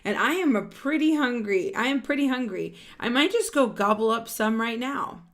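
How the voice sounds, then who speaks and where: A woman's voice: fairly high pitch, expressive, moderate pace, pitched above the performer's own voice. A woman voicing a boastful, hungry wolf in a read-aloud picture book. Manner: loud, boastful.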